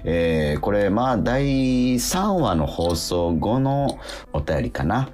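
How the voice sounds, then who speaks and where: A man's voice: fairly low pitch, sing-song, slow pace, a little mellow, soft background music. A man hosting a radio show, chatting casually and reading listener mail aloud with frequent interjections.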